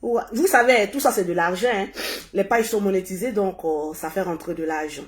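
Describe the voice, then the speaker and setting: A woman hosting a casual live stream, hoarse and husky from a cold, repeating herself as she urges viewers to share. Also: mid-range voice, moderate pace. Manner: repetitive, urging viewers to share. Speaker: livestream host.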